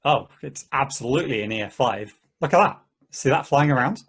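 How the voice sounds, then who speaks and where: A man's voice: mid-range voice, lively intonation, brisk, a bit bright, husky. A man reading the part of a laid-back, stubborn friend in a casual, slangy play table read. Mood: awed. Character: laid-back, stubborn buddy proud of his old truck.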